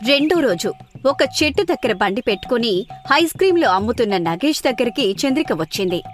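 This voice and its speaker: A woman's voice: a little high, sing-song, fairly quick, faint music behind. A woman narrating a scripted children's story and voicing its dialogue.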